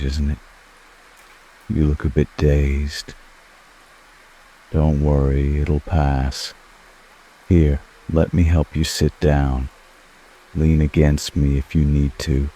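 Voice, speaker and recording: Man voicing a very deep, slow, seductive ancient vampire. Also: dark tone, heavily acted, poor audio. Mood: gentle, reassuring. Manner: slow, formal, teasing, intimate. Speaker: ASMR roleplay performer. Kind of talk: scripted ASMR roleplay.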